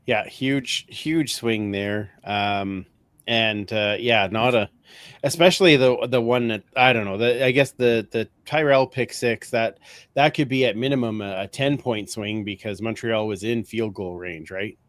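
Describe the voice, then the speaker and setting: A man's voice: on the low side, a little slow, a bit bright, dry recording. A man hosting a casual sports podcast in an easygoing, chuckling way, often repeating his phrases. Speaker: podcast host.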